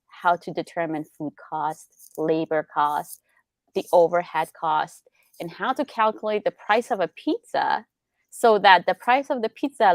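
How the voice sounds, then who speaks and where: A woman's voice: on the low side, no room sound. A young adult woman from Iran, a business attorney, explaining in casual interview chat with lots of rhetorical questions.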